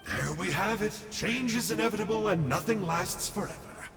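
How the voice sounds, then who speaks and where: An actor playing a smug, theatrical villain scholar in a video game, with a teasing, mock-dramatic drawl. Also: male voice, high voice, moderate pace, gravelly, fairly bright tone, reverberant, in a large hall, slight background noise. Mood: mock wistful.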